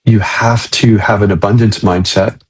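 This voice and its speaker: A man hosting a conversational interview, speaking in a thoughtful, halting way and repeating words as he goes. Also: on the low side, steady tone, breathy edge. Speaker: interview host, head of a photographer network.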